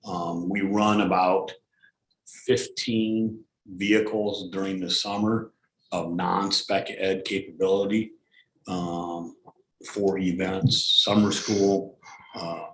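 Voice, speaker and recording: A man giving an informal, halting, plainspoken explanation as a staff member at a public meeting. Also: low-pitched, a bit bright. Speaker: school district transportation staffer. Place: indoor school board meeting room. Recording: echoey meeting room with background noise.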